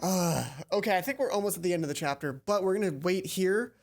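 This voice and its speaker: A woman's voice: on the low side, fast talker, faint music behind. Chatty woman playing a game. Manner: chatty, swears, excitable asides. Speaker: let's play host. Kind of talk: casual gameplay commentary.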